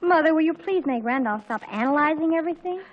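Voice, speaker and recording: A woman's voice: high voice, expressive, fast talker, highs cut off. A woman acting a gushing, boy-crazy teenage girl in a 1940s radio sitcom, full of exaggerated slang and melodrama. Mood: indignant. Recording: noisy 1940s radio recording.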